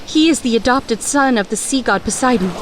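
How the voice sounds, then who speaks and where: A woman voicing a loving, worried mother in an animated story, speaking tenderly and solemnly. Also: on the high side, brisk, slight background noise, lightly acted. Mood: earnest. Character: loving mother of a young hero, worried, wise.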